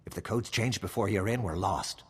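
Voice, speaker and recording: Wry spy officer, game dialogue, low pitch, rough husky tone, weary, conspiratorial, slight hall reverb. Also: male voice, a little fast. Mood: grave, urgent. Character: weary, wry male military intelligence officer.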